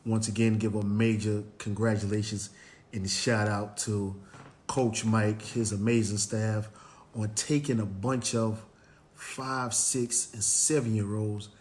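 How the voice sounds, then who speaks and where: A man hosting a motivational Facebook Live show, hoarse and raspy from cheering, greeting viewers by name, with plenty of "um" and "you know". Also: fairly low pitch, little pitch movement, takes it slowly, darkish tone, a little hiss or hum. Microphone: live stream, phone or webcam.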